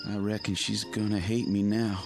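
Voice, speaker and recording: Man acting a lovestruck country boy, low-voiced. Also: little pitch movement, normal speed, close to an everyday voice. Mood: smitten, tender. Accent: rural Southern American. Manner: folksy, drawling country phrasing. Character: lovestruck rural young man courting a bewitched sweetheart. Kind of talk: radio drama acting. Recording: radio play with music bed.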